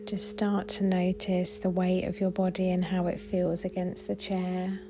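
A woman guiding a body scan meditation in a gentle, soothing, unhurried way with long pauses. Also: fairly low pitch, completely flat delivery, background noise. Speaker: meditation guide.

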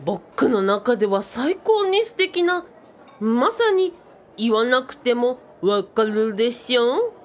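A woman's voice: fairly high pitch, big pitch swings, takes it slowly. A woman voicing an eager, bookish boyish male sidekick who speaks politely with quirky sentence endings in a comic radio drama. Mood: puzzled, earnest.

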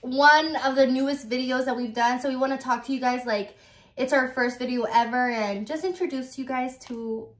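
Chatty young woman, high voice. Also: steady tone, moderate pace. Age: 20s. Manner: chatty, lots of "like" fillers. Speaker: YouTuber. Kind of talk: casual chat. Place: bedroom. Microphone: phone camera. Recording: echoey room.

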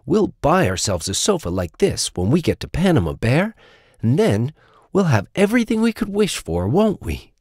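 A man's voice: a little high, huge pitch swings, moderate pace, darkish tone, no room sound, studio quality, pitched above the performer's own voice, heavily acted. A man voicing a small, childlike tiger who is eager and fretful, exclaiming as he goes. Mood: dreamy, eager. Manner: exclaiming, wheedling, swooping.

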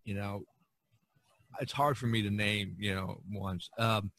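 A man's voice: lowish voice, steady tone, a little slow, a bit bright, dry recording. A middle-aged man hosting a podcast, chatting casually and ramblingly about art. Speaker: podcast host.

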